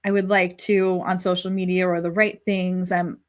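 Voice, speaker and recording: A woman's voice: on the low side, little pitch movement, normal speed. A woman hosting a live panel discussion, speaking reflectively with frequent restarts, who is five months pregnant. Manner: reflective, searching, many restarts. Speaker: host.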